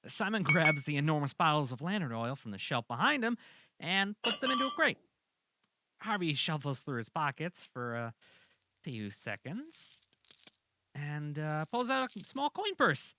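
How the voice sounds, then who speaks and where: A man's voice: fairly high pitch, very animated, a little slow, sharp tone, a little hiss or hum. A man narrating a scripted audio drama in an informal, amateur reading style.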